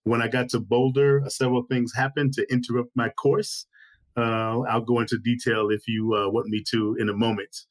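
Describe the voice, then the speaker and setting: A man, an anti-racism trainer speaking as a guest from home, measured and articulate. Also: mid-range voice, little pitch movement, poor audio. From Chicago. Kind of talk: interview. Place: home, during lockdown.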